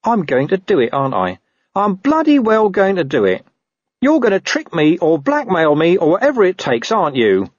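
A man's voice: high voice, wildly swooping pitch, fairly quick, hardly any pauses, no room sound, muffled recording, voice pushed up, heavily acted. A man voicing a wary, boastful talking dog with grumbling, streetwise speech in an audiobook. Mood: indignant. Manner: grumbling, cocky, uses rough slang and bad grammar.

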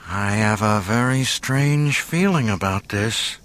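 A man's voice: fairly low pitch, animated, slow, fairly bright tone. A man playing an anxious, earnest young male hero in an audiobook. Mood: uneasy. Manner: earnest, uneasy. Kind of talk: audiobook character acting.

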